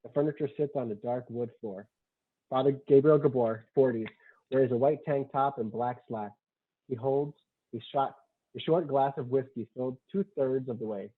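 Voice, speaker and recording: A man's voice: medium pitch, moderate pace. A man narrating a screenplay's stage directions in a plain, matter-of-fact way. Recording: muffled, dull lo-fi sound.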